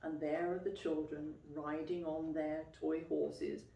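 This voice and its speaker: Echoey, slow-paced woman reading to children. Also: low-pitched, a little hiss or hum. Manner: expressive, clearly phrased, explaining and questioning as to young children. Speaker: teacher reading a picture book aloud to children. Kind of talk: children's storytime read-aloud with questions to listeners. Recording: roomy, echoey, distant microphone.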